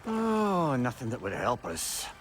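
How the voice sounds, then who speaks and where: An actor playing a witty, chatty old sage in a video game, with a Scottish accent and a wry, sardonic manner. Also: male voice, medium pitch, huge pitch swings, measured pace, over music. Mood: wry, exasperated. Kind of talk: cinematic video game acting.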